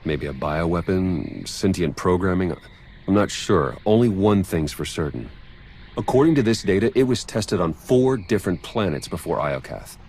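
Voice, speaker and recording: A man's voice: low voice, animated, moderate pace, darkish tone, slight background noise. An actor playing a wry, casual male military agent in a cinematic video game. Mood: grave.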